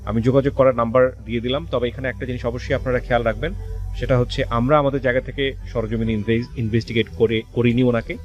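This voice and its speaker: A man with a Bangladeshi accent hosting a radio show, speaking in a measured way with hesitant pauses as he interviews and addresses listeners. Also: mid-range voice, fairly even intonation, lo-fi sound. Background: music under the voice.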